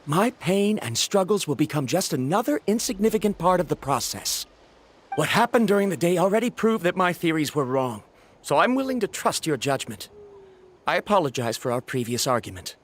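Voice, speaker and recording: High-voiced, prickly young scholar. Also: male voice, very animated, moderate pace. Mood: defiant, determined. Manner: stiff, formal, argumentative. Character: proud, stubborn young male scholar, prickly and intense. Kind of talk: animated game voice acting.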